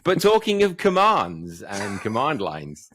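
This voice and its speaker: A man's voice: on the low side, huge pitch swings, unhurried, fairly bright tone, no echo. A man co-hosting a casual tech podcast, chatty and rambling, laughing at his own jokes. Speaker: podcast co-host.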